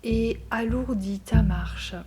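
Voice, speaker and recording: A woman guiding a yoga nidra meditation in a calm, soothing and gentle manner. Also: on the high side, takes it slowly, background noise. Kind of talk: guided meditation narration. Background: soft meditation music with chimes.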